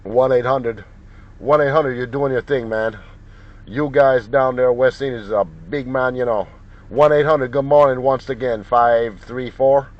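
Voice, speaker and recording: A man's voice: medium pitch, a little slow, heavy background noise. A man making CB radio contacts, repeating names and call signs in chatty operator patter. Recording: dull, muffled lo-fi sound.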